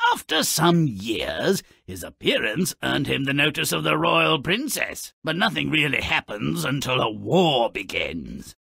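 An actor voicing a sardonic, gleefully morbid gnome-like troublemaker who tells fairy tales in a mocking, theatrical, gravelly way for a cartoon game. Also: male voice, fairly high pitch, pushed and bright, no echo, studio quality, full character voice. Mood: mock storytelling. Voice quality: gravelly, raspy. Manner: theatrical, sarcastic, mocking storyteller. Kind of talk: scripted cartoon game narration.

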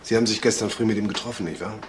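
An actor playing a dry, probing police detective with pointed delivery in a German crime radio drama. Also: male voice, fairly low pitch, fairly even intonation, rapid-fire, noisy background, natural voice.

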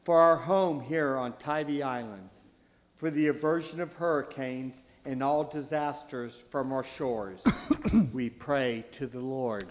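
Older man, deacon at the lectern, reads slowly and deliberately, roomy church sound. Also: medium pitch. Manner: slow, deliberate reading with pauses. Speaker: deacon reading intercessions. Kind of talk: reading prayers of the faithful. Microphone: lectern mic. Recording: reverberant church hall.

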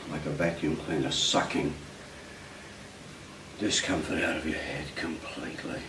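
A middle-aged man working as a hypnotist, giving directive suggestions and questions in short, deliberate phrases. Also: low voice. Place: meeting room with glass wall, indoors. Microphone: distant camera mic. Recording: echoey room, distant mic, clear background noise.

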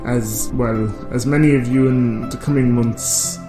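An actor playing a serene, condescending, passive-aggressive yoga instructor leading a class in a radio drama. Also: male voice, mid-range voice, steady tone, unhurried, natural voice. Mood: smugly serene. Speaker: yoga teacher. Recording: roomy echo, music behind.

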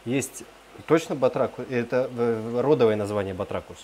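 A man's voice: mid-range voice, moderate pace, darkish tone. Man chatting over faint background noise. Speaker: vlogger filming a tour of private aquariums. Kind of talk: casual chat and off-the-cuff commentary. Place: indoor room full of large fish tanks. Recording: faint water and room noise.

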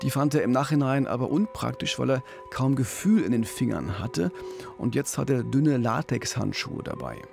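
A man's voice: mid-range voice, expressive, moderate pace, gravelly. A man in his fifties co-hosts a true-crime podcast. He tells the case in a conversational way, close on a studio microphone, with music underneath. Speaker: podcast co-host. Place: radio studio. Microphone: studio microphone on boom arm with pop filter. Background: background music.

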